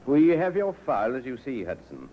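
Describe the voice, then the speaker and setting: An actor playing a shrewd, dry, seasoned police inspector in an old radio crime drama, on a muffled, hissy recording. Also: male voice, mid-range voice, wildly swooping pitch. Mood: dryly sceptical. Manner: measured, dryly sceptical.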